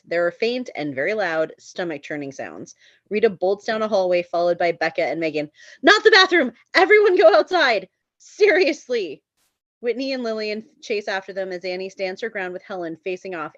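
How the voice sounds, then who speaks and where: A woman's voice: fairly low pitch, fairly quick, no echo. Woman narrating a screenplay, slightly dull sound. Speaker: narrator reading stage directions.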